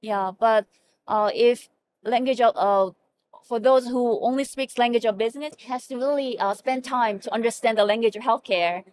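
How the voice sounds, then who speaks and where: Korean-accented woman, earnest and halting. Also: fairly high pitch, darkish tone. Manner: earnest, frequent fillers and self-corrections. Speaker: hospital chief medical information officer. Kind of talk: conversational interview answers.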